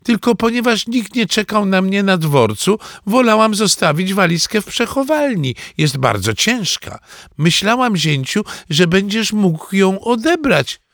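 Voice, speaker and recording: A man's voice: high-pitched, very animated, a little slow, fairly bright tone, no room sound, studio quality, voice pushed up, full character voice. A man voicing a doting, fussy old grandmother in a storybook reading, gushing and cooing. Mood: fussy, insistent.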